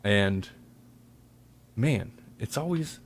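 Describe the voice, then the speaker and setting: A man's voice: fairly low pitch, expressive, drawn-out words, a little hiss or hum. A man hosting a podcast, talking in a casual, rambling way with joking, self-deprecating asides. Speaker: podcast host.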